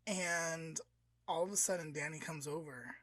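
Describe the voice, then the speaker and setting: A woman's voice: sits low, fairly even intonation, moderate pace, a bit bright, dry recording, clean studio sound. A woman podcast co-host retelling a story in an informal, dramatic, conversational way and acting out the dialogue. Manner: conversational, dramatic, uses slangy quotes.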